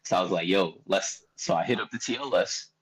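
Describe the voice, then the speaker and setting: Laid-back Philly man with a low voice. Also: fairly quick, rough recording. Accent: Philadelphia. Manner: laid-back, animated storyteller who acts out quotes. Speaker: podcast guest, rapper. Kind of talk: casual storytelling chat.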